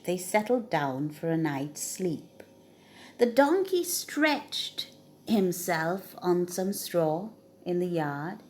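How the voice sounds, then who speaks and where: Expressive, unhurried woman storyteller. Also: fairly low pitch. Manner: expressive, dramatic emphasis. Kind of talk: children's story read aloud.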